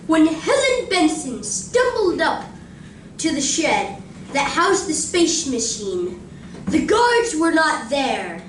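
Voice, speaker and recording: In a roomy space, some way from the microphone, a woman narrates a live radio drama. Her high voice moves with animated intonation as she reads slowly and theatrically. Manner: deliberate, theatrical. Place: library community room, live performance. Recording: roomy distant recording, faint noise.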